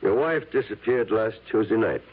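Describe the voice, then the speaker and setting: An actor playing a cool, persistent police lieutenant in a 1970s radio drama, husky, clipped and formal. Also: male voice, fairly low pitch, lively intonation, moderate pace. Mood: cool, businesslike. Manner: clipped, formal, matter-of-fact. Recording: tinny old radio broadcast.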